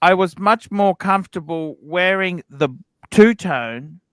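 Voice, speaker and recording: A man's voice: sits high, a little slow, fairly bright tone, clear, resonant tone, dry recording. A man hosting a casual livestream panel chat, blunt and swearing, who keeps checking with "okay?". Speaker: livestream host.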